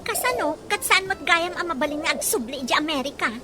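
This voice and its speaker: A woman's voice: very high-pitched, animated, quick pace, loud music behind the voice. A woman acting a bitter, aggrieved young wife in an Ilocano radio drama, complaining and scornful in an Ilocano accent. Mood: dismayed, complaining.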